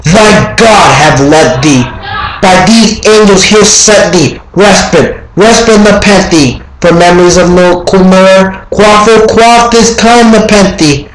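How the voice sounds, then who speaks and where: A man's voice: sits high, slow pace, echoey room, over music, voice pushed up, low-quality recording. A man playing a haunted, grieving man who declaims and pleads in a Gothic poetry recitation. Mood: anguished. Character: grief-stricken, haunted male narrator crying out in anguish.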